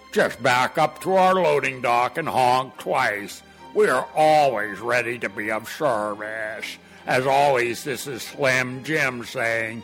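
A man playing a folksy, cheerfully morbid funeral home pitchman in a spoof radio ad, with deadpan salesmanship. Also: creaky.

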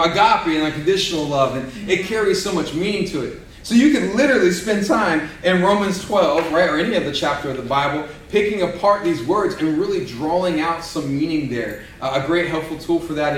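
A high-pitched man preaching with a folksy, energetic delivery, often saying "man" and "right?". His voice rings around a very echoey room, picked up from some distance away, with a faint hum of room noise underneath. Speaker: pastor. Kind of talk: sermon. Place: church room with a small audience. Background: small live audience responding.

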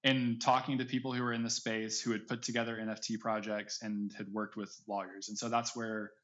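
A man's voice: lowish voice, level tone, fairly quick, fairly bright tone. Man in casual interview, slightly dull audio. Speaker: podcast guest, audio drama creator.

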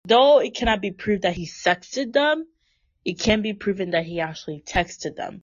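A woman's voice: mid-range voice, lively intonation, moderate pace, dry recording. A woman in her twenties hosting a casual podcast, talking in a chatty, opinionated way with lots of fillers. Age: 20s. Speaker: podcast host. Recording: dull lo-fi sound.

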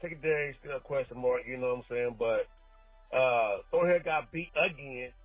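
A man in a casual, slangy sports rant on a voicemail, exclaiming and colloquial as an animated fan. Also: medium pitch, moderate pace, no echo. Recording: muffled voicemail, music behind.

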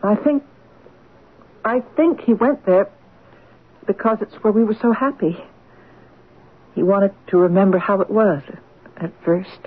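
A naive, lovelorn American woman in an old-time radio play. She is breathy and a little husky, speaking in simple, hesitant, girlish phrases with a wistful air. The recording is thin and narrow like an old broadcast. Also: mid-range voice, a little slow, pauses often. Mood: sad, bewildered.

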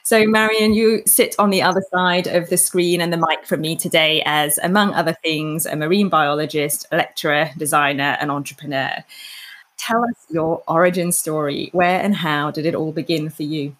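A woman's voice: low voice, fairly even intonation, normal speed, a bit bright. A woman hosting an interview show, speaking in prepared introductions and questions to her guest. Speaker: podcast host.

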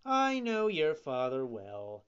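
A narrator voicing a sly, scornful fox swindler in a children's story, smooth-talking and insinuating. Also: medium pitch, wildly swooping pitch, takes it slowly, highs cut off. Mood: sly, friendly.

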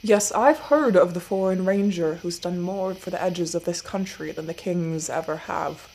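A woman voicing a weathered, desperate older male farmer who speaks in a rough, worried way. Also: lowish voice, moderate pace, a little mellow, a little hiss or hum, close to an everyday voice, poor audio. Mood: respectful. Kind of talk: audiobook character voice.

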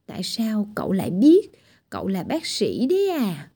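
Woman voicing a cheeky little storybook boy, blunt replies, warm breathy tone. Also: medium pitch, huge pitch swings, normal speed, heavily acted. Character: tiny boy Mít Đặc, cheeky and clumsy.

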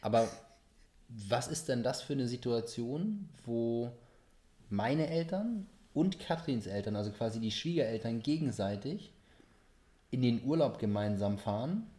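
A middle-aged man hosting a casual family podcast, asking relaxed, chatty questions. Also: medium pitch, unhurried. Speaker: podcast host.

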